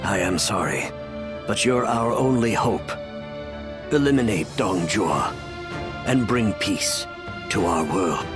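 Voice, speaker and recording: A solemn imperial official in a video game cutscene. His voice is fairly low and unhurried, weighed down by remorse, and he speaks with formal care over clear background music. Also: animated. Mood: resolute, pleading. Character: grave, remorseful imperial official and adoptive father.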